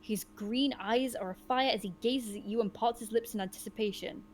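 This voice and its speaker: A woman with a fairly high voice hosts a gamebook session for friends. She reads the story aloud with drama and slips into casual, informal asides between passages. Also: fairly quick, slight background noise. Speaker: game reader and host.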